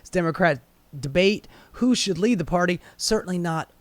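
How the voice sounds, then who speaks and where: A man's voice: high-pitched, normal speed, dry recording. An American man giving casual, opinionated news commentary in a sardonic, exasperated, sweary manner. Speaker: political commentator.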